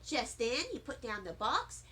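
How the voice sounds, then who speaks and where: A woman reading a children's story aloud in an expressive, animated and deliberate way. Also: on the high side. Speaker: storybook reader.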